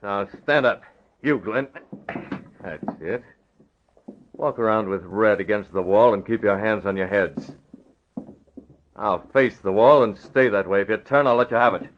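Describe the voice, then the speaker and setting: An actor playing a resolute, shrewd masked vigilante in an old radio drama. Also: male voice, lowish voice, unhurried, long pauses, slight background noise, natural voice. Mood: menacing, commanding. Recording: dull old radio recording.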